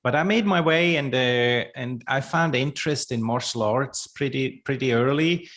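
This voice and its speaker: A middle-aged man from Switzerland, a podcast guest, speaking slowly and haltingly with many restarts; his is not English. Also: mid-range voice, fairly bright tone. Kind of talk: podcast interview.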